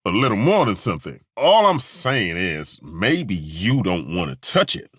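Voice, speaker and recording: A man voicing a gruff old male dwarf companion in a game, blunt and sardonic, with a dry, plain-spoken manner and frequent sighs. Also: deep voice, big pitch swings, unhurried, fairly bright tone, no echo, full character voice. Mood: wry. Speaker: companion character. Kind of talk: game character voice lines.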